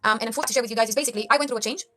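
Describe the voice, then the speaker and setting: A woman's voice: on the high side, racing, a bit bright. A young woman from Kenya giving an earnest motivational talk to camera, preaching life tips to her viewers and calling them guys. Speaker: life coach vlogger.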